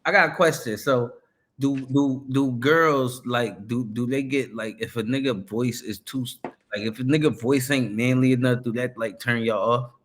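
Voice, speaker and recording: A man's voice: mid-range voice, fairly even intonation, normal speed, a bit bright. A man hosting a reaction show, talking in slangy casual commentary with frequent restarts and laughs.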